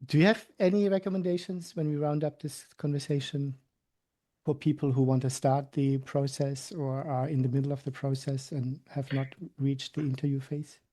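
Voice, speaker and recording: A man hosting an interview, asking questions in a halting way and repeating words as he goes. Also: medium pitch, little pitch movement, dark tone. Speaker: interview host. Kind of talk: casual interview.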